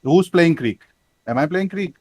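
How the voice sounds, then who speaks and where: A man's voice: on the high side, lively intonation, normal speed, a little mellow. A man acting as game master in casual tabletop talk, informal and given to casual swearing.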